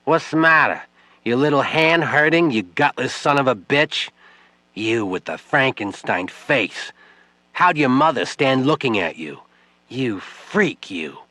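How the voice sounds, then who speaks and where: A man's voice: medium pitch, lively intonation, a little slow, pushed and bright, no room sound, voice pushed up. A man reading an audiobook, voicing a drunk, grief-stricken young widow who slurs and shrieks her accusations. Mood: furious. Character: drunk grieving young widow, furious, slurring.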